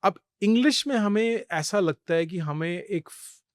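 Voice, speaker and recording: Animated man mixing Hindi and English. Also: sits high, measured pace, no room sound, clean studio sound. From India. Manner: mixes Hindi with English phrases, animated storytelling. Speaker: podcast guest. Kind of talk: conversational interview storytelling.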